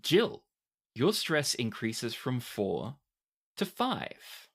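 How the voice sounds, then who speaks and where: A man with a medium-pitched voice runs a tabletop roleplaying game. He narrates scenes and explains rules in a deliberate way, as a game master does. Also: sing-song, creaky.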